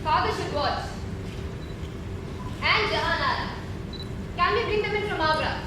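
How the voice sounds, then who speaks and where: A woman plays an impassioned princess of palace intrigue. Her voice is extremely high and declamatory, urgent in its pleading. It comes from a stage, far from the mic, in heavy echo. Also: lively intonation, a little slow. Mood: pressing. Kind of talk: stage play acting. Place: theatre stage, recorded from far off. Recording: distant, echoey, noisy stage recording.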